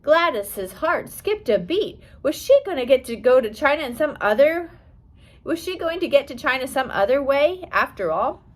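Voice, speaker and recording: Woman, audiobook narrator, fairly high voice, very varied intonation, careful storytelling delivery. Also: big pitch swings, normal speed. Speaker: audiobook reader. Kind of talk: scripted narration of a biography.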